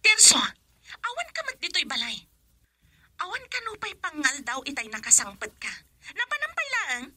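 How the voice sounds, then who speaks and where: Hot-tempered wife, extremely high voice, Ilocano accent, wildly animated, nagging, radio drama. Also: female voice, normal speed, heavily acted. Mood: angry, nagging. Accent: Filipino, Ilocano.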